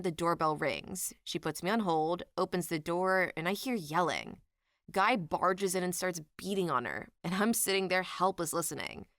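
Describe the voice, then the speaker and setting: A woman's voice: lowish voice, no echo, clean studio sound. A woman reading out written anecdotes as a scripted online story narrator.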